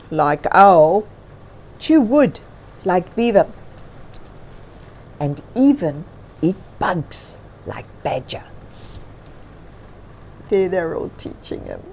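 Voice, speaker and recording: A woman reading a children's picture book aloud as a storyteller, with dramatic, expressive emphasis and chatty asides to young listeners. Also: on the low side, a little hiss or hum.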